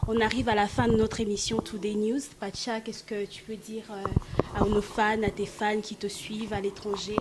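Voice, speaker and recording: A woman from Belgium hosting an interview, chatty, filling pauses with hesitations as she asks her questions. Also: mid-range voice, level tone, very noisy. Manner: chatty, fills pauses with euh. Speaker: interview host.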